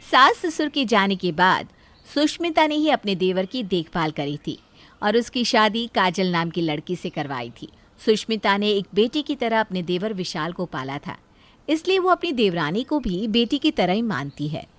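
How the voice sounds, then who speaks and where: Woman, storyteller, scripted narration, family moral tale, medium pitch. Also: big pitch swings, moderate pace, fairly bright tone.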